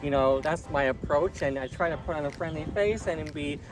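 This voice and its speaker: A man's voice: on the high side, a little fast. A man, a first-time tournament golfer, speaking candidly and friendly in an interview. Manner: candid, friendly, many fillers. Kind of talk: interview at a golf event.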